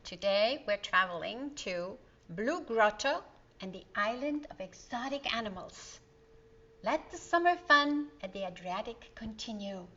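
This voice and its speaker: A woman reading a children's story aloud in a gentle, expressive way. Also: on the high side, measured pace. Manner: gentle, expressive, sing-song. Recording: dull lo-fi recording.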